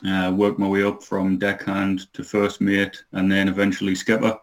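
A man in his thirties talks as a podcast guest, hesitating with frequent ums and uhs. His voice sits low, and his pitch stays fairly flat as he speaks. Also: moderate pace. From Newcastle, England. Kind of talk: casual interview.

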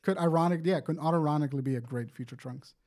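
A man's voice: a little high, fast talker, a little mellow. A man co-hosting a podcast, chatting casually and swearing, easily amused. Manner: profane, easily amused banter. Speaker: podcast host. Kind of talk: casual chat.

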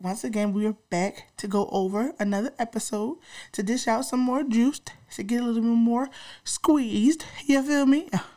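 A woman's voice: a little high, moderate pace, mellow. A young woman hosting a podcast, congested and a little nasal from a cold, chatting casually in slang straight to her listeners. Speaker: podcast host.

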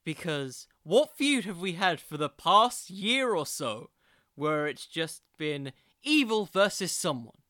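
A man hosting a solo podcast, giving conversational commentary in a musing, halting way with emphatic bursts. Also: fairly high pitch, sing-song, bright, forceful tone, no echo, studio quality. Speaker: podcast host.

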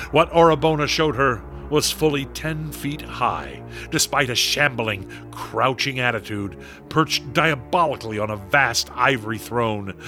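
A man acts the grave narrator of a horror radio play. His mid-pitched voice is forceful and crisp-edged, telling the tale with deliberate drama over faint music.

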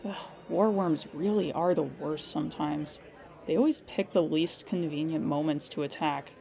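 A woman's voice: low voice, moderate pace, creaky, heavy background noise. A woman acting as a weary but patient emergency hotline operator in an audio comedy drama, polite and dryly professional. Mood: dry, exasperated. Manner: calm customer-service politeness with dry exasperation.

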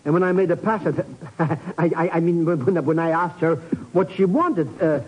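An actor playing a cowardly comic sidekick in a 1950s radio drama, stammering through slangy, nervous protests. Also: male voice, a little high, normal speed, natural voice. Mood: sheepish. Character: bumbling, cowardly comic sidekick man, shifty and excitable. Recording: muffled 1950s radio recording.

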